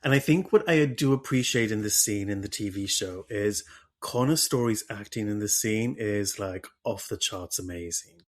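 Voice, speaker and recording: A man hosting a podcast, talking through a book club discussion with animated enthusiasm and frequent restarts. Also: fairly low pitch, moderate pace. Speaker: podcast host.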